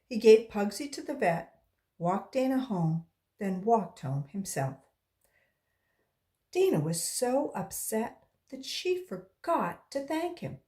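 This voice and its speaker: A woman reading a children's story aloud in an expressive, deliberate storytelling way. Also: high-pitched. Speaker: volunteer storybook reader.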